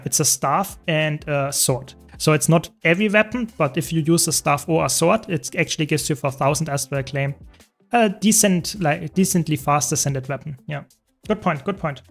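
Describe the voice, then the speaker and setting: A young man from Germany with a German accent, chatting casually with viewers while playing a game on a live stream. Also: a little high. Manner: answers chat questions while playing, relaxed and talkative.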